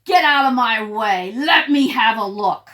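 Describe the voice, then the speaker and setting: Woman playing a loud, snarling prairie dog bully. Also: a little high, lively intonation, a little slow. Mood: bossy. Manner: barking, snarling, rude. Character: rude, bossy, booming prairie dog bully.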